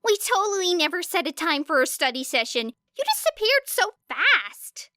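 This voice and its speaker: An eager, bubbly teenage schoolgirl for an audiobook. The voice is extremely high and wildly animated, with a bright edge. The delivery gushes and sounds breathless. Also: moderate pace, no echo, studio quality, heavily acted. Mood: gushing. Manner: breathless, gushing. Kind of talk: audiobook character voice.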